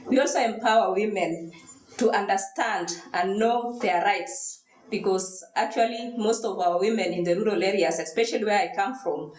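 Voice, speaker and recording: A woman's voice: medium pitch, a little hiss or hum. Woman speaking at a conference. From Kenya, Rift Valley. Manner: earnest, steady, with many fillers. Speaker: social worker and conference speaker. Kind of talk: prepared presentation. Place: university conference hall. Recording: echoey hall.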